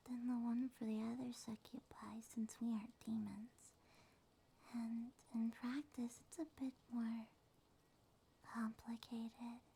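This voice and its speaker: A woman voicing a small, affectionate succubus monster girl in a soft, soothing, sleepy ASMR roleplay. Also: on the high side, heavily acted. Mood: sleepy, tender. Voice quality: soft, breathy, often whispered. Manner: slow, tender, soothing.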